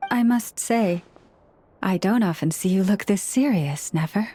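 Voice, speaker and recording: A woman voicing a gentle, earnest young moon priestess in a video game, speaking softly and with measure. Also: mid-range voice, a little hiss or hum, close to an everyday voice. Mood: warm, polite. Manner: soft-spoken, earnest, measured.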